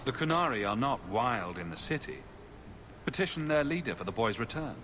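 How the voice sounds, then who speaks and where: A man's voice: mid-range voice, big pitch swings, brisk, crowd noise, close to an everyday voice. An actor playing a confident, plain-spoken male adventurer hero in a video game. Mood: confident, probing. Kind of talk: scripted video game dialogue.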